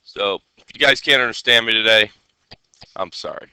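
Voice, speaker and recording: Nasal man teaching, very bright voice. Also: on the low side, normal speed, clean tone, dry recording, low-quality recording. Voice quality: nasal, congested. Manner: instructional, checks in with students often. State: stuffed up with a head cold. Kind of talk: live classroom coding lesson.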